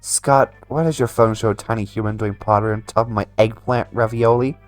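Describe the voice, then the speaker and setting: A man's voice: on the low side, steady tone, a little fast, faint music behind, lightly acted. Man voicing a pompous, fussy hipster vampire. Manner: pompous, theatrical, indignant. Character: pretentious fussy young male hipster vampire foodie.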